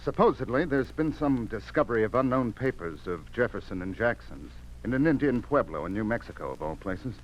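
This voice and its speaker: A man's voice: mid-range voice, big pitch swings, brisk, few pauses. An actor playing a weary, cynical newspaper editor in a 1950s radio drama, explaining things with resignation to his boss, on a muffled recording. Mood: resigned.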